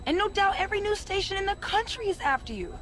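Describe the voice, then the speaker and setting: A woman's voice: extremely high pitch, lively intonation, fast. An actress playing a devoted, worried young girlfriend in a video game, pleading and insistent. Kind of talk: video game cutscene acting.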